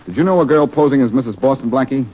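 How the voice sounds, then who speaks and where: An actor playing a cocky, wisecracking amateur detective in a 1940s radio drama, breezy and sardonic. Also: male voice, mid-range voice, animated, fast talker, slightly rough. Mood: cool, needling. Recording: dull, noisy 1940s radio recording.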